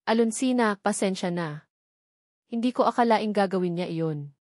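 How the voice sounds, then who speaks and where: A Filipino woman storyteller voicing a troubled billionaire father who speaks calmly with firm emphasis. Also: mid-range voice, moderate pace, dry recording, clean studio sound, close to an everyday voice. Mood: apologetic. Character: troubled billionaire father, calm but firmly insistent.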